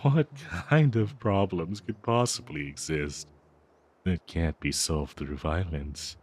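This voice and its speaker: A man voicing a possessive, bloodthirsty, lovesick talking cursed dagger in an audio roleplay, speaking to the listener in a theatrical, doting yet menacing manner with halting hesitations. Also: low voice, a little mellow, husky, full character voice. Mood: gleeful, bloodthirsty.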